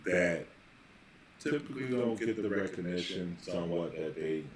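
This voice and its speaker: A man hosting a casual podcast interview, building long questions in halting, repeated phrases. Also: low voice, animated. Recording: very echoey room, faint background noise.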